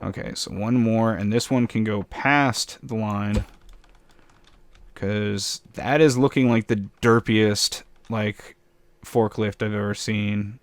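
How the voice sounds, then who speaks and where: Slightly raspy man rambling over gameplay. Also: fairly low pitch, steady tone, slow pace. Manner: rambling, self-mocking, chuckles often. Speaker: gameplay commentator. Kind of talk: casual building commentary.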